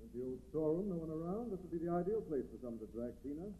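An actor playing an urbane, determined gentleman investigator with a brisk, commanding manner, in 1940s radio drama style. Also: a little high, lively intonation, natural voice. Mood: determined, wary. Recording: noisy, tinny 1940s radio recording.

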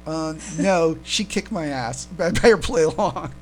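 A man co-hosting a casual fan podcast, chatting haltingly and often repeating his words. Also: a little high, lively intonation, a little hiss or hum. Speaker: podcast co-host.

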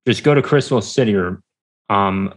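An American man chatting casually as an interview guest, rambling haltingly and often trailing off. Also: lowish voice. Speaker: podcast guest. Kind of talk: casual chat.

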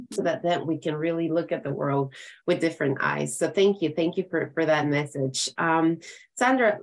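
A woman from Ecuador hosting a podcast conversation in an encouraging, affirming manner. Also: low-pitched. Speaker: podcast host. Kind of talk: conversational interviewing.

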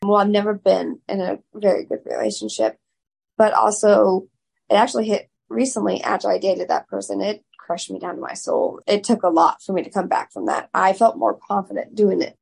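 A woman guest with a raspy voice, speaking loudly and frankly in a candid interview. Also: mid-range voice, steady tone, moderate pace. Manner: loud, frank and open.

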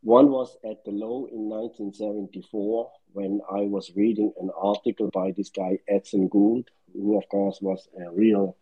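A man's voice: lowish voice, animated, moderate pace, gravelly, hardly any pauses, husky, dull audio. An older man from Switzerland, calling in to a live audio room and rambling through dates and figures from his early years in finance. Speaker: caller. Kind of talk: casual market recollection.

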